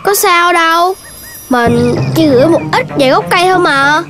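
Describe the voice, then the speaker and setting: A performer voicing a cheeky, argumentative young puppy child in a children's cartoon, with an expressive childlike voice. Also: moderate pace. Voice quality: childlike, high. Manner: bickering, expressive. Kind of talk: children's animated cartoon dubbing. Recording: music behind the voice.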